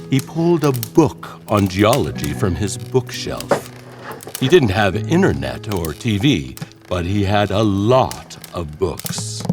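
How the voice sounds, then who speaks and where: A man narrating a scripted horror story in a dramatic, deliberate storytelling manner. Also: low-pitched. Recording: music bed under the voice. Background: music.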